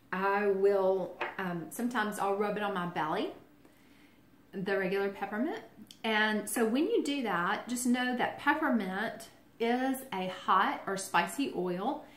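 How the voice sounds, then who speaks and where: A woman's voice: medium pitch, roomy sound. A woman giving a casual how-to talk in a chatty, friendly way, with lots of ums and restarts. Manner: chatty, friendly, filler-heavy explaining. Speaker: essential oils presenter.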